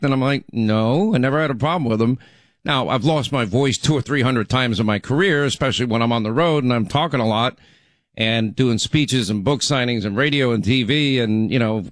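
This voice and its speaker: A man's voice: medium pitch, no echo. A middle-aged man hosting talk radio, chatty and rambling, with frequent restarts. His voice is still getting over laryngitis. He is recorded dry and close in the studio. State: recovering from laryngitis. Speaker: radio talk show host. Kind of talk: casual talk radio monologue.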